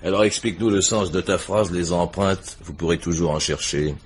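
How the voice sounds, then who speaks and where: An actor playing a blunt, impatient police inspector, curt and pressing, in a French radio crime drama. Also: male voice, deep voice, normal speed, slight background noise, natural voice. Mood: puzzled, reporting.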